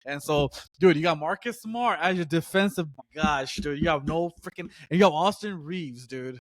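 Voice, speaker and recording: A man's voice: on the high side, moderate pace, a bit bright, no echo. A man co-hosting a casual sports podcast, talking emphatically and peppering his lines with "bro" and "dude". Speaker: podcast co-host.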